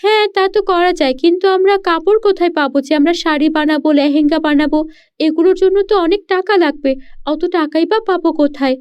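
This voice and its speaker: Woman doing an eager questioning village girl for a kids cartoon, super high pitched, bit muffled. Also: a little fast. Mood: doubtful, questioning. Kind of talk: children's cartoon story dialogue. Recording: dull lo-fi sound.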